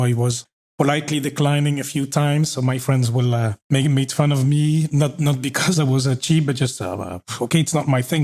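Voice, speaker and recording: A man's voice: mid-range voice, darkish tone. Man from Belgium hosting a podcast. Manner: conversational, frequent backchannels. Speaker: podcast host. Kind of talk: casual interview talk.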